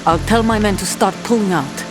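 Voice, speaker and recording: A woman's voice: medium pitch, fairly quick, natural voice. Woman acting a vengeful, defiant mercenary commander. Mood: grudging. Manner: bitter, defiant. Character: vengeful, hot-headed female mercenary commander. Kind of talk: dramatic video game acting. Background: music.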